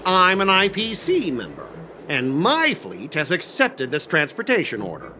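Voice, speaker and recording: A man's voice: fairly high pitch, huge pitch swings, a little slow, bright, forceful tone, soft background music, full character voice. A man voicing a stubborn, blustering corporate cargo officer in a video game, indignant, officious and argumentative. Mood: scornful, blustering. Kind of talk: animated video game voice acting.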